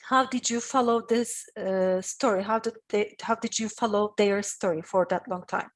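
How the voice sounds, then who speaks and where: A woman moderating a panel, introducing the guests and the film in a measured way, with some pauses and restarts. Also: medium pitch, steady tone, no room sound.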